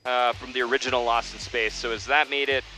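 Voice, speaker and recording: A man's voice: mid-range voice, fairly even intonation, fast, sharp tone, faint music behind, poor audio. A man hosting a casual, playful interview, speaking on a headset in a small plane. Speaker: interview host. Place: small plane cabin in flight.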